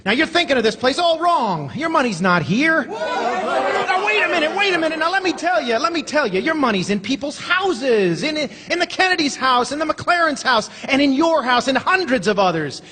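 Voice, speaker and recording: An actor playing an earnest, flustered young loan manager who stammers and pleads, in old-time radio drama style on a theater stage. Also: male voice, very high-pitched, big pitch swings, moderate pace, bright, forceful tone, some chatter behind, exaggerated voice. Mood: urgent pleading. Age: young adult. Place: live stage in a large theater. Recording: echoey theater hall.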